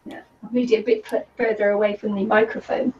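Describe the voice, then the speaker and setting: A woman with a New Zealand accent chatting casually on a live craft stream, her voice croaky today, rambling with lots of ums and little laughs. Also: mid-range voice, flat delivery, quick pace, darkish tone. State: croaky voice from the heat. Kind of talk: casual chat while crafting. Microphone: computer or camera mic with a fan nearby. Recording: slightly dull lo-fi sound.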